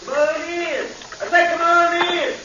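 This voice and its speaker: An actor playing a folksy, grumbling old frontier doctor and town mayor in an old-time radio western. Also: male voice, very high voice, little pitch movement, a little slow, bright, forceful tone, full character voice. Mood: calling out. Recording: muffled, hissy old radio recording.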